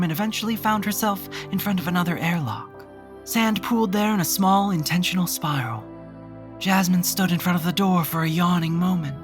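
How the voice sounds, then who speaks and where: Female narrator for audio drama. Low register, measured, deliberate pauses, music bed. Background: music under the voice.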